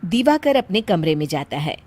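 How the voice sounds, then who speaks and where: A woman's voice: on the low side, very animated, moderate pace, a little mellow, no room sound. A woman narrating a scripted cartoon family story as the storyteller.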